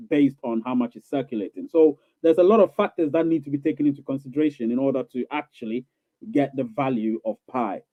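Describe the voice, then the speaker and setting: A man's voice: medium pitch, no echo. A man hosting a casual live stream, answering viewer questions in a rambling, reassuring way, repeating himself with many fillers. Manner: reassuring, coaxing. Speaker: livestream host reading viewer questions. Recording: tinny phone-like dry sound.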